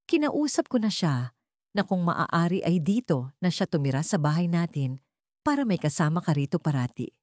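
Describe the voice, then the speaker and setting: Woman as a gentle, sorrowful father, mellow voice. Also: fairly low pitch, very animated, moderate pace, no room sound, studio quality. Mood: reassuring. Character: gentle, sorrowful father consoling his young daughter.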